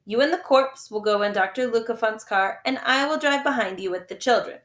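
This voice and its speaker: A woman voicing a glib, scheming male villain in a storybook, quick to excuse himself. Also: a little high, fairly bright tone, echoey room, close to an everyday voice. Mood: smoothly scheming.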